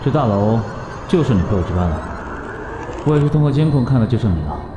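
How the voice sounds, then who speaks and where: A man's voice: mid-range voice, big pitch swings, quick pace, roomy sound, noisy background, natural voice. A man playing a menacing middle-aged security guard who is secretly a stalker, in a suspense audio drama. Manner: menacing, insinuating.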